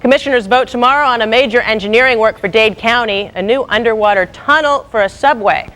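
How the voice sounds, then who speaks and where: A woman's voice: a little high, quick pace, a bit bright. An American woman anchoring scripted local TV news with a wry, punchy, irreverent delivery.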